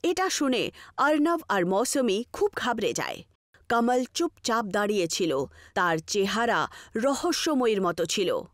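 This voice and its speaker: A woman's voice: mid-range voice, big pitch swings, a little slow, no room sound, studio quality. A woman narrating a scripted horror story.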